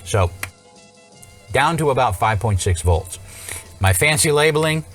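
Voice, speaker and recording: A man's voice: medium pitch, animated, measured pace, a bit bright, faint music behind. A man presenting an unscripted hands-on electronics tutorial in an informal, explanatory way with wry asides.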